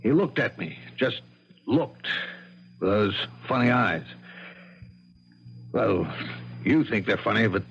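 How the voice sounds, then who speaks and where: An actor playing a troubled, fretful man full of foreboding, speaking haltingly in a 1970s radio drama. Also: on the low side, lively intonation, gravelly, soft background music, full character voice. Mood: agitated dread. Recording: muffled old radio recording, faint hum.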